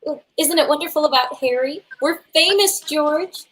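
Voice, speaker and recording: Woman acting a high-voiced, cheerful wife. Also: moderate pace, a bit bright. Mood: cheerful, affectionate. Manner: chatty, soothing. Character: cheerful, patient wife and mother. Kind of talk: live radio play reading. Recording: noticeable faint background noise.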